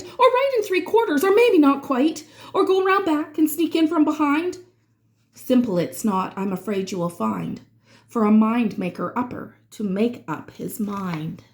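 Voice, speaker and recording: A woman reading rhyming verse aloud for children in an expressive, dramatic way, drawing out certain words. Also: a little high. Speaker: children's read-aloud reader.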